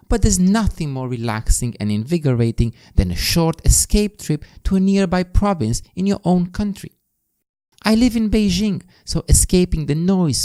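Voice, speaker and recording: A man's voice: a little high, dark tone. A man with a Romanian accent, a native Romanian speaker, hosting a podcast in an emphatic, scripted self-improvement monologue that addresses the listener directly. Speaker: podcast host.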